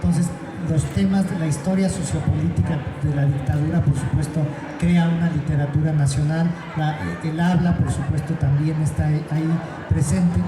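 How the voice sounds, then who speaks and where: A man moderating a literary panel, speaking in a measured way and searching for his words. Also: a little high, steady tone, music in the background, a crowd in the background. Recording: echoey venue with background noise.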